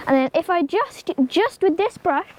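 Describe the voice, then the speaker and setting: A woman's voice: extremely high pitch, expressive, normal speed, low-quality recording. Chatty teen girl with a cold. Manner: chatty, rambling, many ums and likes, talks to the pony. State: has a slight cold. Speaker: horse-care vlogger. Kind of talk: casual how-to tutorial. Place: outdoor stable yard beside a pony.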